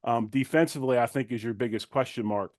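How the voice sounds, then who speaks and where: A middle-aged man speaks as a guest on a sports show, in an informal and plain-spoken way. His voice sits at an ordinary male pitch, and the sound is dry with very little room in it. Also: fast, no echo. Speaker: guest, former football player turned sports commentator. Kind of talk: conversational sports analysis.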